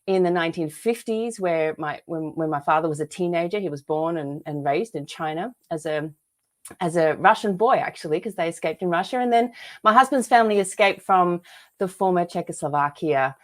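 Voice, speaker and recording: Australian woman, interview guest, earnest, low-pitched, restarts often. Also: moderate pace. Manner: earnest, many restarts and fillers. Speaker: guest author. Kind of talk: interview conversation.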